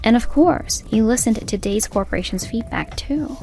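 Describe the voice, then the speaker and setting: A woman's voice: on the high side, lively intonation, moderate pace, dark tone, faint music behind. A woman voicing an earnest, assertive young female store manager in a comic dub, speaking emphatically. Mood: firm, challenging. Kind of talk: dubbed comic voice acting.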